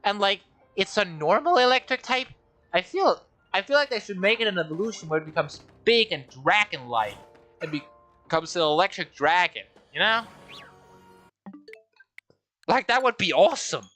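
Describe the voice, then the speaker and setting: A man's voice: high-pitched, animated, normal speed, long pauses, sharp tone, faint music behind. A man streaming a game, rambling and joking at himself as he talks through it. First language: Danish. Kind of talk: casual game livestream commentary.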